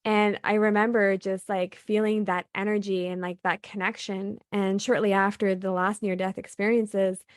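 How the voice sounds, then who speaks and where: A woman's voice: mid-range voice, level tone, moderate pace, few pauses, clear, resonant tone, dry recording, clean studio sound. A woman from Canada, a guest on an interview show, telling a personal story in an earnest, reflective way with many fillers. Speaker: podcast guest.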